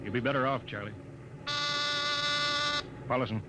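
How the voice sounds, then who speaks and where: A man's voice: mid-range voice, fairly even intonation, unhurried, close to an everyday voice. A man acting a brusque, commanding American spaceship captain in clipped mid-century radio drama style. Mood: gruff, regretful. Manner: clipped, authoritative. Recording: muffled, noisy vintage radio recording.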